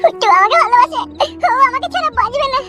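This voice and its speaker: A performer voicing a stubborn, lovestruck teenage village girl in a comedy cartoon, with a pitch-shifted voice. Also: fast talker, sharp tone, soft background music. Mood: defiant, lovestruck. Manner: insistent, defiant back-talk. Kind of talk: Bengali comedy cartoon dub.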